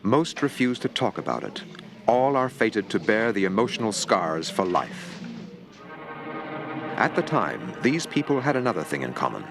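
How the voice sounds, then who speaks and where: A man's voice: fairly low pitch, very animated, moderate pace. A man narrating a mock documentary in a grave, dramatic tone. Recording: music underneath.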